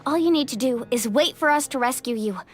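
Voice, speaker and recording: A woman's voice: sits high, brisk. A woman voicing a spirited, loyal young heroine in a video game, earnest, emphatic and protective in manner. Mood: firm, encouraging. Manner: earnest, emphatic, warm encouragement. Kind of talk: English video game voice acting.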